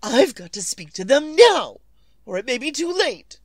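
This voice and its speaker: An actress playing a nervous, imperious, querulous wife in 1940s radio suspense style, melodramatic and demanding. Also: female voice, high voice, big pitch swings, no echo. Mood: anxious, querulous. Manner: melodramatic, demanding, drawn-out delivery.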